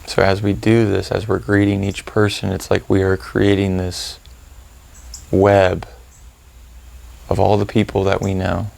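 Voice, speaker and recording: A man leading a guided meditation, giving gentle, informal instructions with unhurried pauses. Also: sits low, monotone, creaky.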